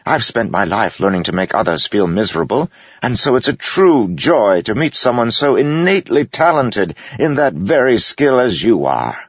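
A quick-tongued court jester pitched high and swooping, delivering cutting insults with theatrical relish, as a male narrator puts him on. The recording is dry and a little dull, with no room sound. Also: big pitch swings, breathy edge, no echo, heavily acted. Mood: mocking.

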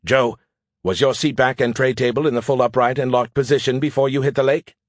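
A man voicing a sarcastic, exasperated male artificial intelligence in an audiobook, loud, mocking and incredulous. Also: medium pitch, steady tone, fairly quick, dry recording, clean studio sound, natural voice. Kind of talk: audiobook character acting.

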